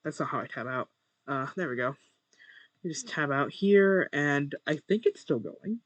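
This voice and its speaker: Young man, monotone gameplay talk. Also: a little high, moderate pace, dry recording, highs cut off. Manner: talks to himself while playing. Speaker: gamer recording his first video. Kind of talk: casual gameplay commentary.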